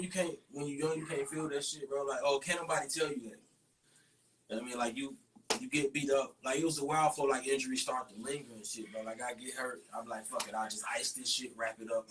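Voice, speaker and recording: A man's voice: medium pitch, fairly even intonation, quick pace, fairly bright tone. Male, American, slangy and profane podcast guest, casual and blunt, recorded off-mic with faint noise. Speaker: MMA fighter, podcast guest. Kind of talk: casual chat. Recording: distant mic, noisy room.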